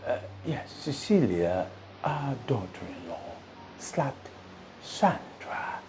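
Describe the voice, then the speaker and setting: A man voicing a slow, gravelly old father-in-law. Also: medium pitch, very animated, a little mellow. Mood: explaining. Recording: music behind.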